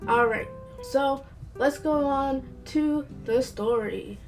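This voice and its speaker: A woman's voice: sits high, slow, darkish tone, faint music behind, low-quality recording. A woman hosting a casual video game review, chatty and playful, sounding congested and a bit nasal from a cold. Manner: chatty and playful, with light asides. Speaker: host of a gaming channel.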